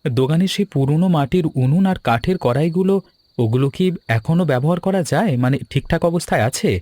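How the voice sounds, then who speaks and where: A man playing an earnest, secretive young son with fond memories and plans, in an animated story. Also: a little high, a little fast, no room sound. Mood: evasive, curious.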